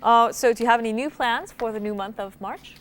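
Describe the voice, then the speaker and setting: A woman's voice: fairly high pitch, fairly quick, a little hiss or hum. A woman hosting a TV quiz show, chatty and upbeat, laughing easily with guests. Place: TV studio.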